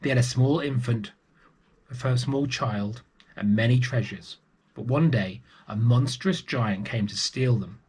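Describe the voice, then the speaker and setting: Storybook old woman, acted by a man: kindly, solemn, deliberate, husky delivery. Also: mid-range voice, a little mellow. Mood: grave, urging. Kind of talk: children's storybook character voice.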